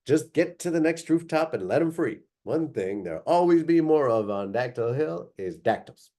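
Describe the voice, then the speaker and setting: Man reading as a brisk, folksy motherly woman. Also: on the high side, lively intonation, warm tone, pitched above the performer's own voice. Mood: warning. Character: brisk, motherly woman giving instructions to kids.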